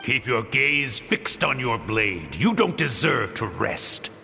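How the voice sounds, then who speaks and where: An unforgiving sword master from a video game. He commands slowly in a piercingly hard, gravelly voice, with music behind. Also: mid-range voice, big pitch swings, bright, forceful tone, exaggerated voice. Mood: severe. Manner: severe, commanding.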